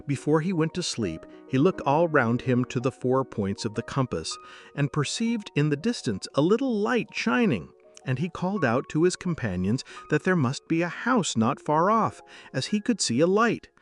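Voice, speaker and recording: A man's voice: fairly high pitch, big pitch swings, normal speed, faint music behind. A man reading scripted bedtime fairy-tale narration as a storyteller.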